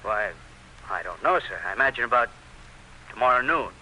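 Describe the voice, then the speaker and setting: A man's voice: medium pitch, animated, brisk, bright, forceful tone, slight background noise, low-quality recording. A man acting as a hesitant, deferential subordinate in a radio drama. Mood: puzzled, unsure.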